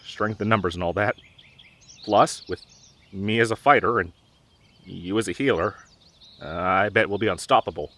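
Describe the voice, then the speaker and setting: A young man playing a dorky, nervous, protective gamer best friend in an audio roleplay, with halting, awkward delivery. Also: fairly low pitch, fairly bright tone. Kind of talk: audio roleplay drama, conversational.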